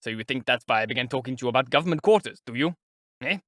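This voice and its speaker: A man's voice: mid-range voice, lively intonation, rapid-fire, a bit bright, no room sound, studio quality, natural voice. Man playing a fussy, sly, jovial investigator. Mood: sly, taunting. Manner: clucking, fussing, ingratiating. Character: fussy, slyly jovial male investigator, effusive and nervy. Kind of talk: audiobook character acting.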